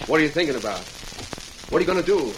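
A man's voice: on the high side, expressive, rapid-fire, natural voice. A man playing a plain-spoken, wary western sheriff in a 1940s radio drama, with a folksy cowboy way of talking. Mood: anxious, curious. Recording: noisy, hissing vintage radio recording.

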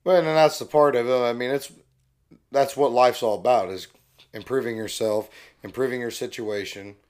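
A young man co-hosting a casual podcast, hoarse from losing his voice, easygoing and agreeable. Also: medium pitch, fairly even intonation, moderate pace, a little mellow. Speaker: podcast co-host. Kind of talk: casual chat.

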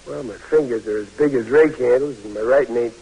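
An actor playing a big, good-natured, bashful young army private, talking in a folksy, stammering way in 1940s radio drama. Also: male voice, mid-range voice, fairly quick, warm tone, slightly rough, rough recording. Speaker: soldier.

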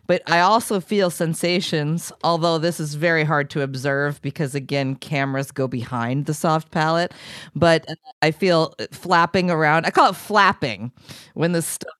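A woman's voice: deep voice, fairly even intonation, moderate pace, a bit bright, no room sound, studio quality. Woman vocal coach hosting an interview. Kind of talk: conversational interview.

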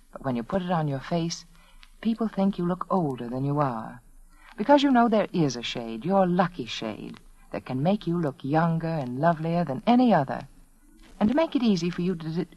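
A woman's voice: low-pitched, a little mellow. A woman reading a scripted 1940s radio commercial in a confiding, persuasive, emphatic way. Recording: dull vintage 1940s radio recording.

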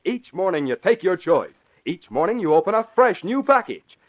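Animated American old-time radio announcer, male. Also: high-pitched, moderate pace. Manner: dramatic, emphatic, declamatory. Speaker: announcer and narrator. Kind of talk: 1940s radio announcing, dramatic scripted narration. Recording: muffled old radio broadcast recording.

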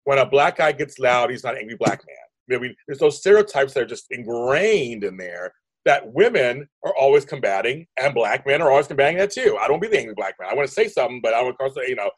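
A deep-voiced man in his fifties, a guest in an interview, talking quickly and emphatically. His voice is husky and slightly breathy, and the recording is dry with no room sound. He rambles and restarts his sentences. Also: sing-song, dry recording, low-quality recording. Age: 50s. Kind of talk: animated conversational interview.

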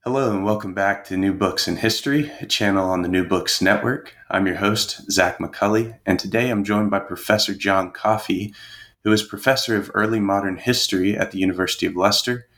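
A man's voice: lowish voice, a little fast, a bit bright. A man hosting a history podcast, introducing a guest in a friendly way with a few stumbles. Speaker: podcast host. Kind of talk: podcast interview.

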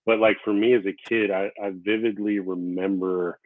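A man hosting a casual interview show, talking in a relaxed, rambling way with many fillers; Korean was his first language. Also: on the low side, moderate pace, no echo. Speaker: podcast host. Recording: tinny phone-like line.

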